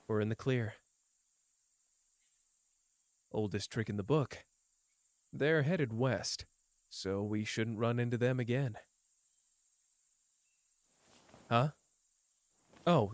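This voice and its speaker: A man's voice: medium pitch, slow pace, pauses often, no echo, lightly acted. A man voicing a teasing, world-weary young man. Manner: soft, teasing, drifting between playful and bleak. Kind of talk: ASMR roleplay.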